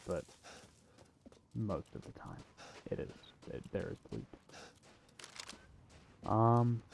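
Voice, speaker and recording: A man's voice: medium pitch, dark tone, lo-fi sound, slight background noise. A man giving a casual, unscripted video game walkthrough in an offhand, self-deprecating way. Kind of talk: casual unscripted gameplay commentary.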